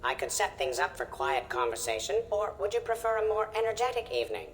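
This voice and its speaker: A man voicing a polite virtual assistant drone in a video game, speaking formally and with measured, precise diction. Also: high-pitched, sing-song, a bit bright, a little hiss or hum. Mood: courteous. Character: polite, obliging virtual butler drone of calm temper. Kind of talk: scripted game dialogue.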